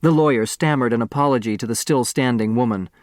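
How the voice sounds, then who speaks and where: A man narrating a scripted story as an audiobook reader, expressive and precise. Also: medium pitch, fast, no echo, clean studio sound.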